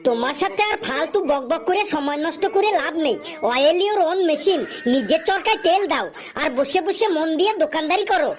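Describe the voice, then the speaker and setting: A woman's voice: sits high, lively intonation, moderate pace, hardly any pauses. A woman voicing a cheeky, smart-mouthed young jobless man in a comic cartoon, with glib, teasing back-talk. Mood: cocky.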